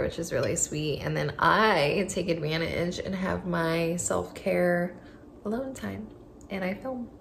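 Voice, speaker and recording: A woman's voice: on the low side, gravelly, roomy sound, a little hiss or hum. A woman in her thirties chatting casually to camera in her home vlog, with many "like"s and "um"s. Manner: chatty, filler-heavy, lots of "like" and "um". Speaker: vlogger. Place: kitchen and living room of an apartment.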